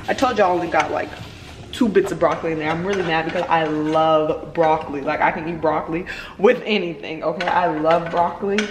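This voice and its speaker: Young woman vlogger, casual cooking chat to the camera, roomy kitchen with sizzling pans. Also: on the low side. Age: 20s. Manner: chatty, playful, talks to viewers while cooking. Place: home kitchen, indoors. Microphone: camera on the counter. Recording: echoey kitchen with frying noise.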